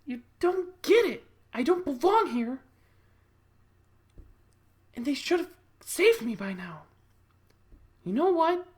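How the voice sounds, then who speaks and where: A performer voicing a frantic young woman captive who pleads and protests her innocence in a whiny, drawn-out way. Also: high voice, sing-song, voice pushed up, exaggerated voice. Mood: distressed protest.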